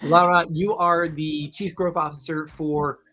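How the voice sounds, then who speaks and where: A man's voice: on the high side, little pitch movement, measured pace, bright, forceful tone. A man hosting an education podcast, reading a scripted intro and then chatting with enthusiasm and frequent restarts. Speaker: podcast host.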